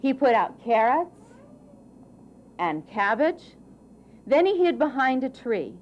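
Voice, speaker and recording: A woman narrating a children's story in a deliberate, expressive way with dramatic phrasing. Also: high voice, dull audio, a little hiss or hum.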